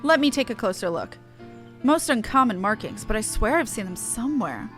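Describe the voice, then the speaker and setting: A woman's voice: a little high, animated, moderate pace, a bit bright, faint music behind. Woman playing an earnest, wordy airship engineer. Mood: inquisitive. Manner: wordy, formal old-fashioned phrasing. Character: earnest, chatty airship engineer of a fantasy game. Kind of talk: game dialogue read aloud in character.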